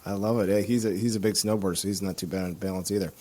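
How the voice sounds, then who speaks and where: A man hosting a casual podcast interview over a video call, upbeat and quick with short affirmations. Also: low voice, fairly even intonation, warm tone. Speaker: podcast host.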